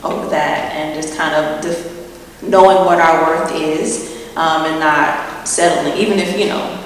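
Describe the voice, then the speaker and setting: Young woman, panel artist, steady and earnest with ums, slightly low voice; long hall reverb, faint hiss. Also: measured pace. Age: young adult. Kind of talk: panel discussion answer. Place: large gallery hall with audience. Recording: echoey hall, distant mic, faint noise.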